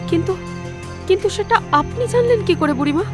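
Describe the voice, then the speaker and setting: A woman voicing a frightened young village mother in an animated horror cartoon, speaking in a fearful, pleading way. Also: extremely high pitch, brisk. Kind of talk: animated horror story dub. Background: loud background music.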